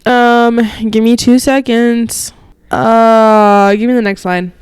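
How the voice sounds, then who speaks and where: A young woman chats as a podcast co-host in a slightly high voice. Her delivery is informal and giggly, with a self-deprecating streak. The sound is close and dry, from a handheld mic. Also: level tone, takes it slowly, darkish tone, clean tone, no room sound. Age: young adult. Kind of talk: casual chat. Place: living room, indoors. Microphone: handheld microphone held close.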